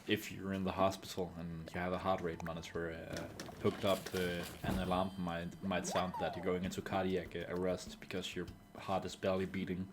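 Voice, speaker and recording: A man streaming a game live, talking in a dry, sarcastic way with lots of halting "uh"s. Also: sits low, level tone, noisy background. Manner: dry, sarcastic, full of filler "uh"s. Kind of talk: casual live gameplay commentary.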